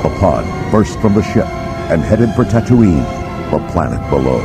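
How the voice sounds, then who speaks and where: A man gives dramatic, scripted storybook narration. His voice is very deep and moves widely in pitch, with music clearly playing underneath. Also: big pitch swings, normal speed.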